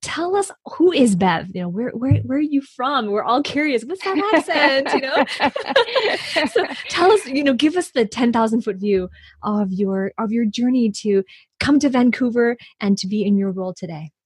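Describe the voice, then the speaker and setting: A woman podcast host with a husky, breathy voice who talks enthusiastically and effusively. Her voice sounds dry and close to the mic, with no room echo. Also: on the high side, expressive, normal speed. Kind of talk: conversational interview.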